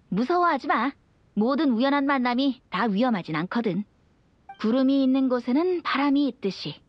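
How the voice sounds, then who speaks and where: A woman's voice: high-pitched, no room sound, muffled recording. A woman voicing a carefree, teasing young male bard in a video game, with a light boyish voice and a playful lilt. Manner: playful, lilting. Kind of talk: animated video game voice acting.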